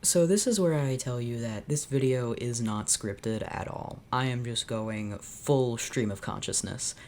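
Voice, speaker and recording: A woman's voice: very deep voice, a little slow, a little mellow, slight background noise. A woman hosting her channel, reading fanfiction aloud unscripted and reacting as she goes, in a sardonic, dramatic way.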